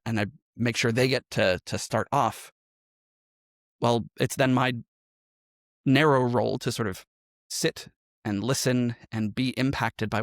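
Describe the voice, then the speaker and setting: A man's voice: mid-range voice, vocal fry, dry recording, studio quality. A man speaking as a podcast interview guest, explaining his craft conversationally with examples. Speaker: interview guest, improv musical performer.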